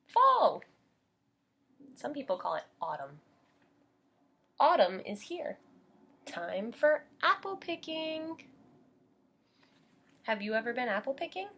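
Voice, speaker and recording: An American woman reads a children's book in the manner of a preschool teacher. Her voice is a little husky and moves expressively up and down in pitch. She takes her time, explaining things simply and asking questions of young listeners. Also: sing-song, slow, long pauses, bright, forceful tone. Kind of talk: children's read-aloud with teaching asides.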